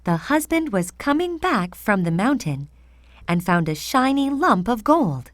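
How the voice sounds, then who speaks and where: Slow, animated woman storyteller. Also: fairly high pitch, dry recording, clean studio sound. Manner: expressive, deliberate read-aloud. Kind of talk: scripted fairy tale narration for children.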